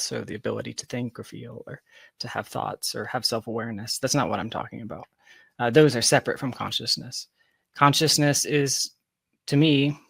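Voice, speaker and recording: A man's voice: mid-range voice, gravelly, dry recording. A man in his thirties with a mid-range voice talks as an interview guest. He explains reflectively, rewording himself as he goes. The sound is dry, with hardly any room on it. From Georgia. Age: 30s. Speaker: podcast guest, software developer. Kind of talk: conversational interview answers.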